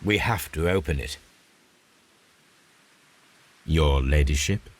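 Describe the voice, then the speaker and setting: An actor playing a composed, analytical detective who muses aloud in a measured, precise manner in a video game. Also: male voice, a bass voice, full character voice. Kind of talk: video game cutscene acting, musing aloud.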